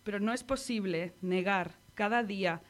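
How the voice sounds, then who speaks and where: A woman with a Spanish accent from Spain gives a poetry reading in a measured, intimate tone. Her voice sits at an ordinary middle pitch for a woman. She speaks into a radio studio mic. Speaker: poet reading her work.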